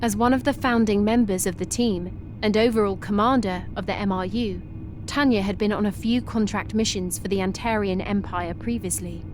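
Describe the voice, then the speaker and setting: A woman reading a science-fiction story aloud in a steady, descriptive way. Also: medium pitch, moderate pace, slight background noise. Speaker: narrator. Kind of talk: scripted story narration.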